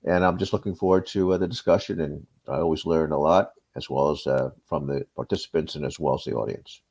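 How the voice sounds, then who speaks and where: American HR lecturer, man, very deep voice, chatty and jokey. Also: steady tone, fairly quick, a little mellow, breathy edge. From United States. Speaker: HR consultant and lecturer. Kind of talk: panel introduction on a video call.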